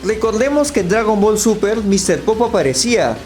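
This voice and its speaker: High-voiced man reviewing. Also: lively intonation, a little fast, darkish tone, soft background music. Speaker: video critic. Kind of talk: opinionated scripted review narration.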